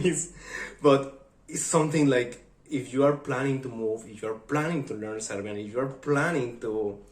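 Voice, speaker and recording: A Mexican man with a Mexican Spanish accent, a native Spanish speaker, talking eagerly to camera in halting English. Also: medium pitch. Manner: eager, chatty, halting non-native phrasing. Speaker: vlogger. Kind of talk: casual talk to camera. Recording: echoey room.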